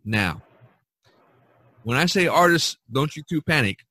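A man's voice: a little high, expressive, a little slow, bright, forceful tone, no room sound. A man hosting a casual podcast chat over a video call, rambling with many fillers and restarts. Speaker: podcast host.

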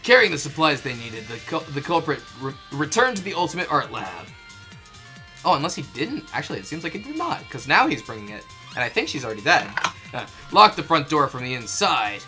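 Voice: mid-range voice, very animated, bright, forceful tone, soft background music. A person reading a game script as scripted narration, with playful, casual asides. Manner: playful asides, quick to laugh. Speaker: fandub performer reading the game script with commentary. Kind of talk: scripted narration mixed with casual joking chat.

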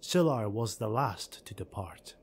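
A man's voice: fairly low pitch, animated, unhurried, husky. A man reading scripted, dramatic fantasy narration as an audiobook narrator. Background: music.

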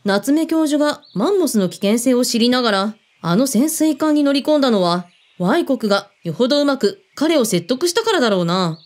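A woman's voice: fairly high pitch, expressive, moderate pace, few pauses, darkish tone, close to an everyday voice. A woman playing a shrewd, skeptical former agent in a radio drama, with terse, mannish phrasing and probing questions. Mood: serious, probing. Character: shrewd, skeptical female ex-agent in a protection business. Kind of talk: Japanese radio drama acting.

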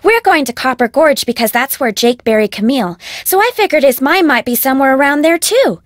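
A woman's voice: high voice, expressive, a little fast, no room sound, studio quality. A woman voicing a bubbly, bossy, spoiled rich young heiress in a video game, with an American accent and perky, dramatic, casual phrasing. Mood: eager explaining. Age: young adult.

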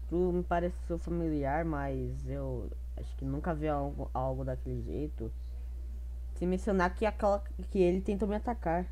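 Brazilian female gaming YouTuber, lowish voice, casual commentary, chatty and slangy. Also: dark tone. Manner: slangy, chatty, thinking aloud.

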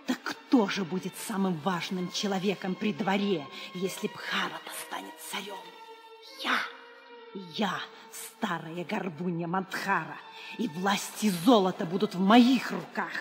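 A woman's voice: lowish voice, lightly acted. An actress playing a power-hungry old hunchbacked servant woman, insinuating and conspiratorial in a theatrical audio play. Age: older. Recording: 1960 stage-style record, faint music.

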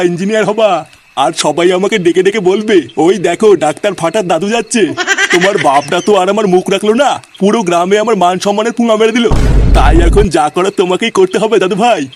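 A man's voice: high voice, fast talker, a crowd in the background, lightly acted. A man voicing a hot-tempered, foul-mouthed ageing father in a comedy cartoon. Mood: fond, hopeful. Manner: scolding, profane. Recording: clearly noisy track.

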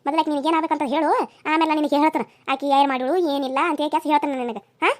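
A domineering older mother-in-law as acted by a woman, spiteful and quick to threaten. She talks fast and very high with a North Karnataka accent, a family melodrama villain. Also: little pitch movement, lightly acted. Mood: hostile. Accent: North Karnataka Kannada.

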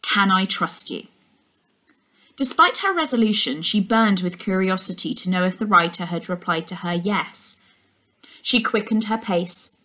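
Young woman teacher doing storytime, expressive narration, medium pitch. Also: normal speed, low-quality recording. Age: young adult. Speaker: teacher reading aloud. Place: furnished indoor room.

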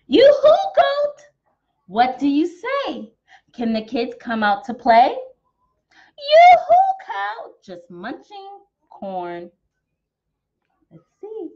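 High, very animated American woman storyteller. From Texas, United States. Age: young adult. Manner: theatrical, exaggerated, sing-song. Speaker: children's storytime teacher. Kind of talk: animated picture-book read-aloud for young children. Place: small decorated room at home, indoors. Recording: dull, slightly muffled webcam sound.

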